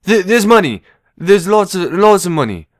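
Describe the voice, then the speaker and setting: Casting: male actor as earnest slow-witted young runaway, higher voice, very lively intonation, halting, audio drama. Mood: frantic pleading.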